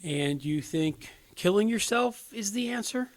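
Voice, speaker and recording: An actor playing an earnest, inexperienced young crisis counselor in a radio play, hesitant and pleading. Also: male voice, sits high, expressive, unhurried. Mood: earnest, defensive. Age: 20s.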